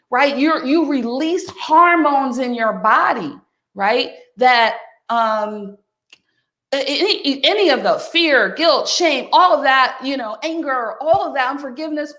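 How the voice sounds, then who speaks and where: A woman in her fifties giving a motivational wellness talk, speaking warmly and directly to the listener as "my friend" and "honey.". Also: sits high. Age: 50s. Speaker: wellness coach. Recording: echoey room.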